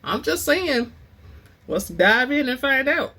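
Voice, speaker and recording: A woman hosting and reading book excerpts in a dramatic, expressive storytelling style, with informal asides. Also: high-pitched, slow pace. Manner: theatrical, expressive; informal, says y'all. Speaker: host and reader of book excerpts.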